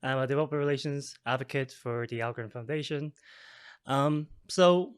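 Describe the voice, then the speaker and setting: A man's voice: medium pitch, moderate pace, clear, resonant tone, no echo. Chatty young man, dry studio sound. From South Korea. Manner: relaxed, chatty, frequent fillers and laughs. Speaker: guest, developer relations advocate. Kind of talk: casual interview chat.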